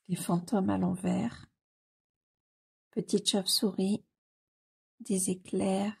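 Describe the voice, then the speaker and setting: A woman with a cold talks slowly and casually, her voice very husky with a gravelly edge and a dark, mellow tone. She gushes over what she sees, repeats her delight, and often hesitates on fillers. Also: mid-range voice. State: has a cold. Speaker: coloring book YouTuber. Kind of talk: casual commentary while leafing through a book.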